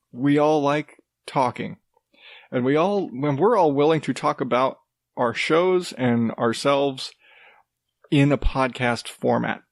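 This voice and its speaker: A man hosting his own podcast, rambling casually with restarts and the odd swear, in a rather deep voice while coming down with a cold. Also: gravelly, no echo, clean studio sound. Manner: rambling, loose, with swearing and self-interrupting restarts. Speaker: podcast host. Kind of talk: casual solo podcast talk.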